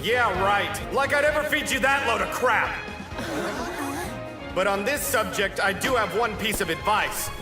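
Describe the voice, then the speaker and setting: A high, youthful male voice plays a defiant anime teacher with a self-mocking, geeky streak. The delivery is theatrical and sarcastic. It sits in a roomy space with music behind it. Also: a little slow. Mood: defiant, rousing. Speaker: voice actor in an English anime dub. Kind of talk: animated anime dub acting.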